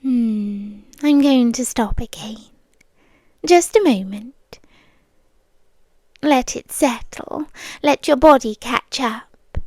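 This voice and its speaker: Slow, high-voiced British vampire girlfriend, played by a woman. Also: a little mellow, no echo. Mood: soothing, controlling. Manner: breathy, drawn-out, often dropping to a whisper. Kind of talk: intimate ASMR roleplay. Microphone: close ASMR microphone.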